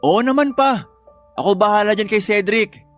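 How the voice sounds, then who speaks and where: A devoted young student son speaks in a fairly high voice. It is family radio drama acting, playful yet dutiful, over faint music. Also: male voice, normal speed, a bit bright. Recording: faint music bed under voice.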